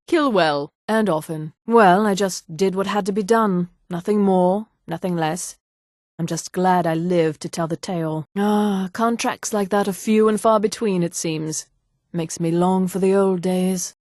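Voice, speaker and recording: An actress voicing a haughty, poised high elf woman, a darkly devout assassin in a fantasy video game, with a formal, measured and dryly superior manner. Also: no room sound, clean studio sound, close to an everyday voice. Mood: solemn, reverent, then proud, composed. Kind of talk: scripted video game character dialogue.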